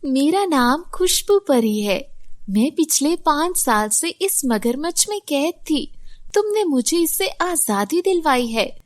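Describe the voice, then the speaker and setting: A grateful cartoon fairy, voiced by a woman. She sounds earnest and very high in pitch, with animated storybook delivery. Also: takes it slowly, no room sound, clean studio sound. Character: grateful female fairy freed from enchantment.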